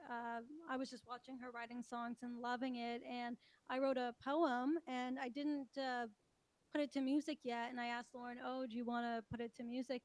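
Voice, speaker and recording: Higher, even-toned young woman. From Toronto, Canada. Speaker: interview guest, country singer-songwriter. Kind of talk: casual interview chat.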